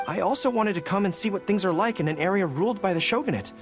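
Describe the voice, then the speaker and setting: Woman doing young dog-eared general in a video game, super expressive, earnest n gets flustered easy, music behind. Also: medium pitch, fast talker, natural voice. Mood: uneasy, reflective. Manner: earnest, easily flustered, stammering.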